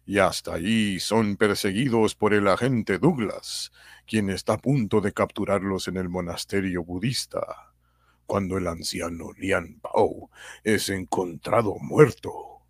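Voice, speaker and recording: A man narrating a radio adventure serial in a measured storytelling manner. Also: low-pitched, slightly rough, dry recording. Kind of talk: radio drama reading narration.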